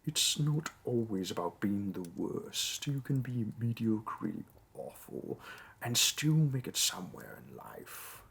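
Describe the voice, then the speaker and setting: A man voicing a demon interviewer in a roleplay audio drama, dry and condescending with mock sympathy, in a low gravelly voice. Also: big pitch swings, measured pace, exaggerated voice. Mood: condescending pity. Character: bureaucratic male demon interviewer, disappointed, politely wicked.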